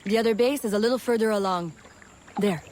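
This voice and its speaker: An actress playing a proud, sharp-tongued woman smuggler of the swamp in a video game, sassy and blunt. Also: mid-range voice, a little fast, slight background noise, close to an everyday voice. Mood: wry, boastful.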